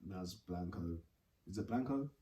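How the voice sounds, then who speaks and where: A man hosting a casual group chat podcast, talking in a halting way with many fillers. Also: low-pitched, animated, dark tone, far from the mic. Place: room with several guests. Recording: roomy and off-mic.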